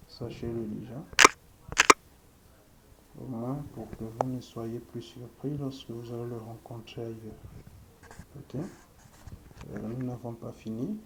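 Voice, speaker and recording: Slow gravelly french guy walking thru code, lots of "ok", loud noise behind. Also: mid-range voice. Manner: slow, thinking aloud while typing, frequent "ok", addresses viewers. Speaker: web development instructor. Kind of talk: screen-recorded coding tutorial.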